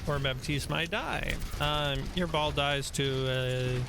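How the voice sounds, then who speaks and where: A man coaching players through a game replay, talking in a relaxed, instructive way with many ums, his voice a little nasal. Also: fairly high pitch, slow pace, noisy background. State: slightly nasal, voice going.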